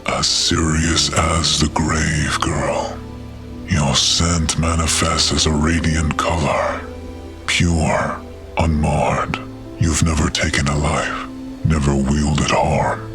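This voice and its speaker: A man's voice: rumbling low, flat delivery, slow, over music, full character voice. A man playing a huge, ancient cursed wolf spirit, proud and stern, speaking in archaic, formal words in a fantasy audio drama. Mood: solemn.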